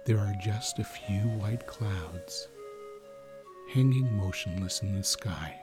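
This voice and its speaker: A man leads a guided sleep meditation with a soothing, very slow delivery. His voice sits on the low side, and he leaves long pauses between phrases. Music plays clearly behind him.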